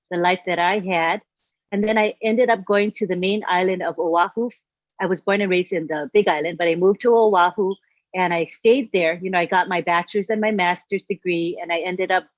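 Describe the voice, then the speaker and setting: A woman's voice: mid-range voice, dry recording, lo-fi sound. Woman in her sixties telling her story. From Hawaii. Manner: chatty storytelling, frequent "you know". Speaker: guest speaker. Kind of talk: personal testimonial on a live stream.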